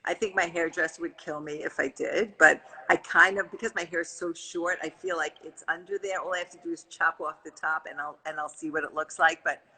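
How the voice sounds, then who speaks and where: A woman's voice: deep voice, fairly even intonation, brisk, few pauses, pushed and bright, breathy edge, low-quality recording. A woman hosting a live video chat, reading out viewer questions in an upbeat way. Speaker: host. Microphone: phone or laptop on a live video call.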